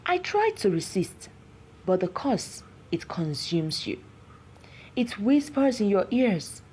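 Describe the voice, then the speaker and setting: An anguished, cursed villager played by a woman storyteller pleads his case in a folktale. He is grave and vengeful, speaking slowly in a mellow tone with dramatic swings of pitch. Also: big pitch swings, slight background noise. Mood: anguished, pleading.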